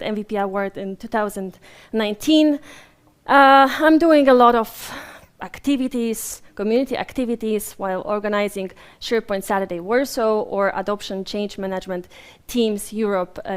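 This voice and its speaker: Polish woman, conference speaker, higher-pitched, friendly rambling delivery with restarts. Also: clean tone. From Poland. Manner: chatty and apologetic, with frequent fillers and restarts. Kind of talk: live conference presentation. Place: conference room with a live audience. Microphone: handheld or stage microphone while moving around.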